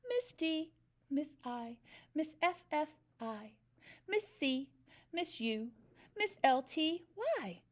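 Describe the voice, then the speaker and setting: A woman's voice: a little high, big pitch swings, fairly quick. A woman doing a piping, eager little boy. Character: brave, eager little schoolboy.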